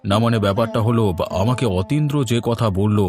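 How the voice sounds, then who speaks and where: A man's voice: fairly low pitch, a little fast, over music, lightly acted. A man voicing a mild, inquisitive village man in a cartoon, with a questioning, conversational manner. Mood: puzzled. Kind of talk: cartoon voice acting.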